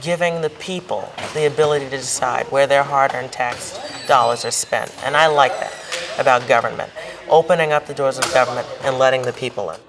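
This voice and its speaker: A man's voice: medium pitch, a little fast. A man making public remarks, recorded with a crowd behind him.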